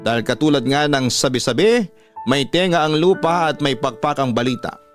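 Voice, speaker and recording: A man with a Filipino accent narrating a first-person story in a measured way as a radio drama host. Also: medium pitch, expressive. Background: music.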